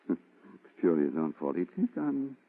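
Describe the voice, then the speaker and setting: A man's voice: low voice, very animated, moderate pace, vocal fry, breathy edge, exaggerated voice. A man acting a haunted, agitated man in an old-time radio horror play, dramatic and stammering, swinging from brooding to frantic. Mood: urgent, obsessive. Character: haunted, agitated man obsessed with a ghostly woman. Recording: muffled, distorted vintage radio recording.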